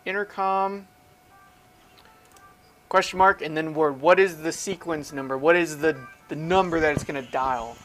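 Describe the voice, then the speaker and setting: A man with a voice pitched a little above average leads a casual, hands-on technical lesson. He talks through each step as he does it, often posing a question and then answering it himself. Music plays behind his voice. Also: steady tone, unhurried, long pauses, fairly bright tone. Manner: informal, self-questioning, explains aloud while demonstrating. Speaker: instructor. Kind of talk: casual step-by-step tech tutorial.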